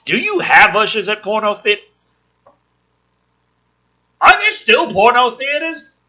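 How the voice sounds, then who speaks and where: A man's voice: sits high, very animated, slow, bright, forceful tone. A man reading a script aloud with sarcastic, exasperated, foul-mouthed commentary as the host.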